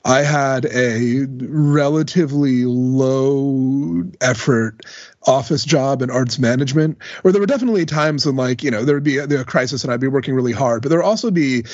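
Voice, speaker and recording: A man's voice: mid-range voice, normal speed, a little mellow, dry recording, muffled recording. A man on a podcast panel, chatting casually and reminiscing.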